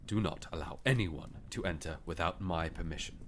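A man voicing a brooding, commanding king in an audiobook, weary and regretful, speaking formally and gravely. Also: low-pitched, normal speed, slight background noise, natural voice. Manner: formal, grave, archaic phrasing. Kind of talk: audiobook character dialogue.

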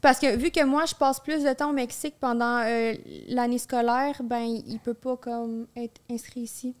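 A woman in her thirties with a Quebec French accent, a podcast guest talking candidly and laughing easily, recorded on a boom-arm studio mic in a small studio. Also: little pitch movement, normal speed, clean tone. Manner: candid, laughs easily while telling stories. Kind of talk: casual chat. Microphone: studio microphone on a boom arm.

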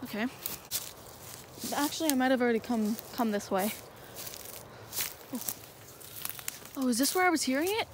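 A young woman with a fairly high voice wanders through a dark marsh at night, filming on her phone. She rambles and hesitates, filling her speech with little fillers. The phone recording carries plenty of outdoor noise. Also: expressive, moderate pace, long pauses. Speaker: vlogger. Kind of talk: handheld night walk vlog. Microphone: phone held in hand.

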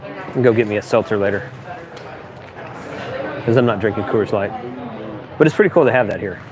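A man's voice: lowish voice, brisk. A man giving casual, off-the-cuff live commentary as he films a walk around an outdoor expo. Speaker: vlogger filming a live walkthrough of an outdoor expo. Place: outdoors in an expo lot and open tents. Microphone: handheld camera, operator behind it. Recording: outdoor crowd noise behind. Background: crowd chatter.

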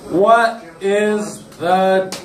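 A young man doing deadpan stand-up on a small club stage, pausing on many uh's between one-liners, picked up by a camera at the back of the room. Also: high-pitched. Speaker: stand-up comedian. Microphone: stand mic, filmed from the back of the room. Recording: roomy distant club recording with loud crowd noise.

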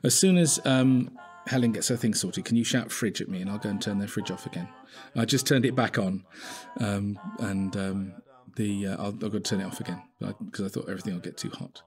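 A man's voice: on the low side, fairly quick, darkish tone, soft background music. A man talking casually and off-script.

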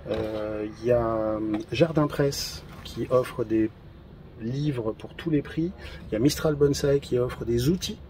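A man in his thirties presenting trophies outdoors in a garden, in a relaxed, hesitant casual chat with many fillers. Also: mid-range voice, measured pace, a little hiss or hum. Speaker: event organizer presenting trophies.

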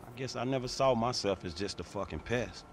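Guy doing young streetwise dude, blunt, sweary, medium pitch, game cutscene. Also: fairly quick, slight background noise, natural voice. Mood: sceptical, laid-back. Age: young adult. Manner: slangy, profane. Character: streetwise young man, blunt, easygoing, sceptical hustler. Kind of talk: video game cutscene acting.

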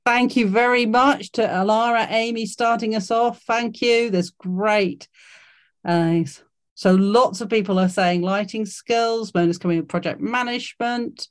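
A woman's voice: a little high, a little slow. A woman hosting an online careers workshop over a video call, encouraging and full of fillers and restarts. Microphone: video call mic.

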